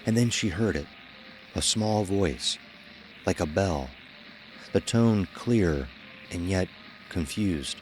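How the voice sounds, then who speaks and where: A man's voice: low-pitched, animated, takes it slowly, pauses often, darkish tone, slight background noise, low-quality recording. A man narrating a scripted horror story. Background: faint music.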